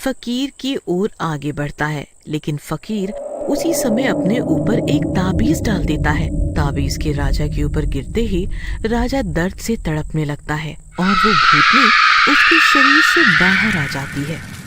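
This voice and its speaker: Woman narrating a story, dramatic, slightly low voice, very expressive, slow. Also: heavy background noise.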